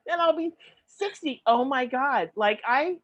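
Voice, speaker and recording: A woman's voice: sits high, unhurried, lo-fi sound. A woman in her late fifties co-hosting a podcast, telling stories in casual chat with lots of laughter. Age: 50s. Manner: giggly, animated storytelling with frequent laughter. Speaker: podcast co-host.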